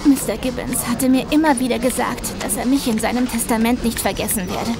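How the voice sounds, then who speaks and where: A woman's voice: fairly high pitch, fairly even intonation, fairly quick, creaky, music in the background. An actress playing an anxious, devoted young female carer, earnest and hesitant, in an audio drama. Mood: distraught. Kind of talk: German audio drama acting. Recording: noisy ambience behind.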